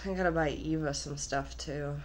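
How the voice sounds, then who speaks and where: A woman vlogging casually from her apartment with a slightly nasal, husky voice, rambling and trailing off mid-thought. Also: low-pitched, steady tone, normal speed, heavy background noise.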